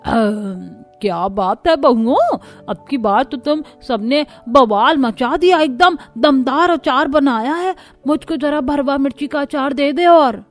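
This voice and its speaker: A domineering old grandmother from a family cartoon, played by a woman, high in pitch and quick to scold. She orders the household about with exclamations and a greedy appetite, over background music. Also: animated. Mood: delighted, greedy. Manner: commanding, exclamatory rustic scolding. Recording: music bed under the voice.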